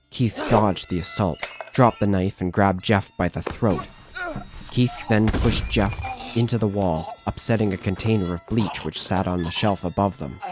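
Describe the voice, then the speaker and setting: Man, very low voice, horror narrator, scripted storytelling, dramatic measured delivery, background music.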